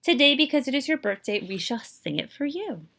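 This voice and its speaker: A woman reading a children's picture book aloud in an expressive, emphatic way, moving between English and Spanish as she explains for young listeners. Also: high voice, fairly quick, gravelly.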